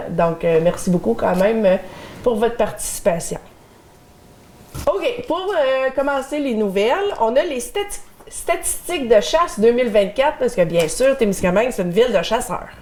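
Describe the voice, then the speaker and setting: A woman's voice: on the high side, lively intonation, normal speed, darkish tone. A middle-aged woman with a Québécois French accent hosting a local community TV show, chatting and reading the news with fillers. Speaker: community TV host.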